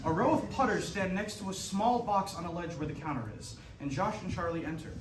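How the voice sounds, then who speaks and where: A man's voice: sits high, expressive, brisk. A man reading scripted stage directions as narrator at a staged reading. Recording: echoey room, far mic, faint noise.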